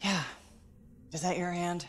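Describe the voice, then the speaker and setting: A woman's voice: lowish voice, steady tone, measured pace, slight background noise, close to an everyday voice. An actress playing an unflappable, sardonic woman mercenary in a video game cutscene, dry and blunt. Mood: blunt, determined. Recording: music behind.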